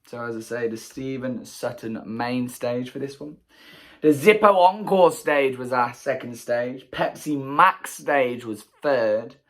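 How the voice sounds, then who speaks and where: A man from England with a croaky voice, chatting casually and enthusiastically as he recaps a music festival for his vlog. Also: medium pitch, fairly bright tone. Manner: chatty, enthusiastic, rambling asides. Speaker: festival vlogger. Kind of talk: casual solo recap to camera.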